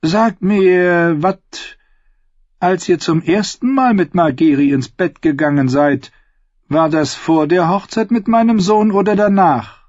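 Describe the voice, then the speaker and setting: A man's voice: high-pitched, measured pace, a little mellow, no room sound, muffled recording, voice pushed up. A man voicing a cruel, scheming queen in an audiobook, commanding and falsely sweet.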